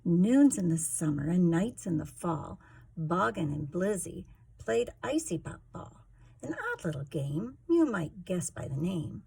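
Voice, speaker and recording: A woman hosting storytime, reading a rhyming children's picture book aloud with expressive, rhythmic delivery. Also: on the low side, measured pace, gravelly, husky. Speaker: storytime host, reader.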